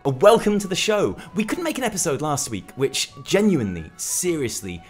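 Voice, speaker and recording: A man's voice: fairly high pitch, big pitch swings, moderate pace, husky. A British man hosting a gaming news show with scripted comic commentary in a theatrical, sarcastic manner. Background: background music.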